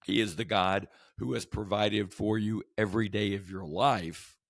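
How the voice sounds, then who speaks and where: A man hosting a devotional podcast, his voice hoarse from the flu, teaching in an earnest, conversational way with rhetorical questions. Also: lowish voice, fairly even intonation, moderate pace, no echo, clean studio sound. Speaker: podcast host.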